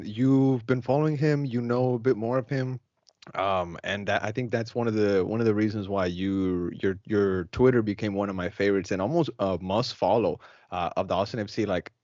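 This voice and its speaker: A man's voice: on the low side, darkish tone. A man hosts a podcast over a video call, talking in an upbeat, loose, slangy way. The sound is dull and lo-fi, with a dry room and no echo. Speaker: podcast host. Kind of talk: casual chat.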